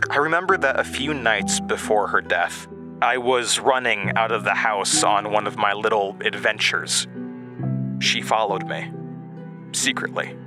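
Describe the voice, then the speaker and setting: Man acting a wistful young narrator, lowish voice, hesitant, reflective, audio drama monologue, music behind. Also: measured pace, lightly acted. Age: young adult. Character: haunted young man recalling his childhood, wistful. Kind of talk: dramatic first-person monologue, reminiscing. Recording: music bed under voice.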